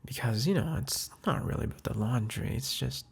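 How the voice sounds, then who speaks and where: Husky gravelly man whispering softly. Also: medium pitch, animated, a little fast. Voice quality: husky, breathy, often whispering. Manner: soft, hesitant, tender, with sighs and restarts. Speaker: boyfriend in a roleplay audio. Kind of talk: intimate apology roleplay to the listener, ASMR-like.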